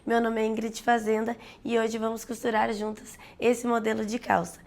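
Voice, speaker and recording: Hoarse, gravelly woman teaching sewing. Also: fairly high pitch, monotone, normal speed, darkish tone. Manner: explanatory, with frequent "tá?" and "né?" check-ins. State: hoarse, losing her voice. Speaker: sewing instructor and host. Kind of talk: live sewing tutorial.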